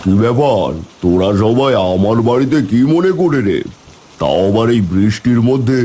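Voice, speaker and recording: A man's voice: mid-range voice, expressive, moderate pace, mellow, a little hiss or hum, close to an everyday voice. A man voicing a commanding village boss in a cartoon, speaking. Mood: authoritative, concerned. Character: village headman, and a greedy gruff male wholesale merchant. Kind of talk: Bengali cartoon voice acting.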